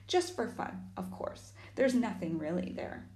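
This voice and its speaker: A woman's voice: medium pitch, very animated, unhurried, darkish tone, roomy sound. A woman voicing a spiteful, sneering young boy in a storybook reading.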